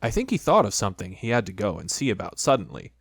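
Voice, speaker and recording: A mild, musing little bear, done by a storyteller who talks slowly and simply. The sound is dry and close, the tone gentle. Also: sits low, sing-song, vocal fry, husky, no room sound, full character voice. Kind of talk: storybook reading.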